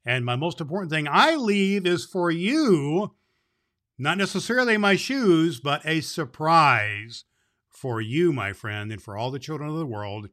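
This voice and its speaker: A man's voice: on the high side, lively intonation, a little slow, pushed and bright. A man in the role of Santa Claus, hosting and telling stories to children with affectionate, emphatic repeats. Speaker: host playing Santa Claus, reading to children. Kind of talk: children's storytelling and show hosting.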